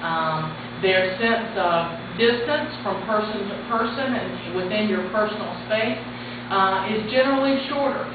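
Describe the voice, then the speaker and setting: A woman in her forties with a loud voice, talking plainly and frankly to a camera. The sound is thin and phone-like, caught from across a strongly echoing room with a clear background hiss. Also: mid-range voice, a little slow, far from the mic. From United States. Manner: plain-spoken, frank, gesturing with her hands. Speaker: mission trip orientation leader. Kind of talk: spoken orientation talk to camera. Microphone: camera mic at a distance.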